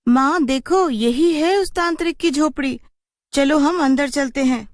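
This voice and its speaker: Woman putting on an eager, high-pitched young child. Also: a little slow, no echo, studio quality. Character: young child Bulbul, eager and pointing things out. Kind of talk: animated horror story dialogue.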